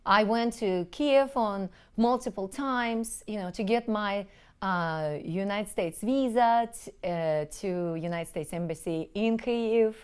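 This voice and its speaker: A woman in her forties from Crimea whose first language is Russian, answering interview questions earnestly with frequent pauses. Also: mid-range voice, smooth. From Crimea, Ukraine. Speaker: interviewee, relief group leader. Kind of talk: conversational interview.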